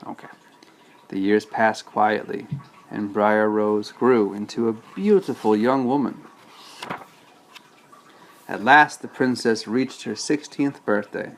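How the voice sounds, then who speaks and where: A man's voice: fairly low pitch, fairly even intonation, takes it slowly, pauses often, slight background noise, poor audio. A man reading a storybook aloud as a father, patiently breaking off to explain things casually to a child.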